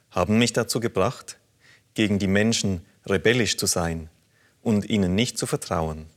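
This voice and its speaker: A man presenting a religious program, reading listener letters aloud in a measured, earnest way. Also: on the low side. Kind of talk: calm scripted reading of letters.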